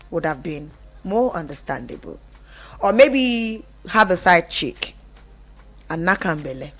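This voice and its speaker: A woman with a Nigerian accent hosting a radio show in an expressive, conversational way, asking rhetorical questions. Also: medium pitch. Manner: expressive, rhetorical questions, some Pidgin phrases. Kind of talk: conversational radio presenting with storytelling.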